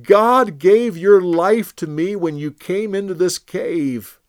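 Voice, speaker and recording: A man's voice: sits high, slow, clear, resonant tone, no echo, studio quality, pitched above the performer's own voice. A man voicing a young male warrior who calls out earnestly and pleads with his king. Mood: pleading.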